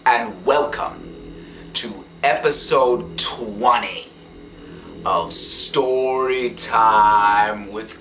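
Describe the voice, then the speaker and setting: A storyteller reading a poem slowly and deliberately, with dramatic pauses and phrases that trail off into breathy near-whispers. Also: mid-range voice, background noise. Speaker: host and storyteller. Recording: loud music behind the voice. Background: loud music.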